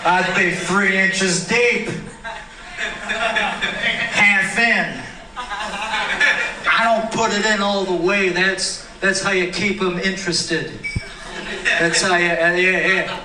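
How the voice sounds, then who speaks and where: A man's voice: high voice, echoey room. A man performing a cocky, brash stand-up set at an open mic in a bar, recorded from a distance.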